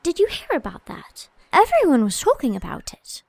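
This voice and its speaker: Blunt lively young lady, high voice, playful, played by a woman. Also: normal speed, vocal fry, no room sound, exaggerated voice. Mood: uneasy. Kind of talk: audio drama acting.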